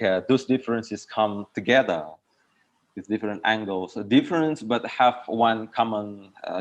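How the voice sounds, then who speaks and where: Low-voiced man moderating a discussion. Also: highs cut off.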